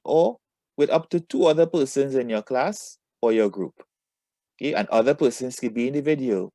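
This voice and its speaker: A man teaching a lesson to students, asking questions and giving instructions with deliberate emphasis and encouragement. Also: medium pitch, mellow, dry recording.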